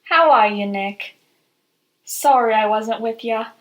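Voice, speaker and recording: A woman's voice: a little high, slow pace, lo-fi sound. A woman playing a chatty, scatterbrained aunt who rambles through gossip in a radio drama. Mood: gossipy, excited. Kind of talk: scripted radio drama acting.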